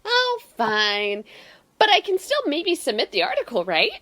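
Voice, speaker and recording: A woman playing an eager, excitable young female student assistant in an audio drama, gushing and keen to please. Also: high voice, a little slow, heavily acted. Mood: eager, hopeful.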